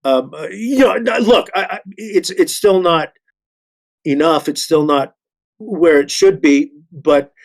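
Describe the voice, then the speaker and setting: An older man speaking as a guest in a casual discussion, in an earnest, halting way with frequent stammered repeats. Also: fairly high pitch, vocal fry. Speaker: actor guest.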